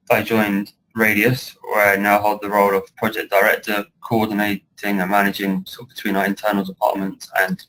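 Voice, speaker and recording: A British man of around thirty giving a measured webinar presentation as a guest speaker. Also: fairly low pitch, a bit bright, low-quality recording. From United Kingdom. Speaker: guest speaker, project director.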